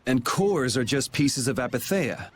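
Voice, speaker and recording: A man's voice: mid-range voice, animated, unhurried, slight background noise, close to an everyday voice. A man voicing a casual but resolute young swordsman who leads his group in a video game. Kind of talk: English video game voice acting.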